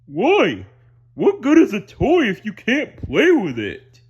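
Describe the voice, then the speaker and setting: A man voicing a dim, eager, good-natured cartoon starfish, loud and simple-minded, with a husky voice. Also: sits high, huge pitch swings, slow pace, muffled recording, pitched above the performer's own voice, heavily acted. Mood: baffled.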